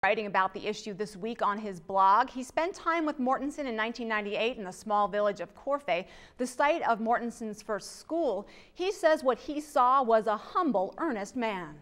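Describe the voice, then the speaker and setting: A woman's voice: a little high, animated, moderate pace, few pauses, a bit bright. A middle-aged woman reading scripted television news as an anchor in a studio.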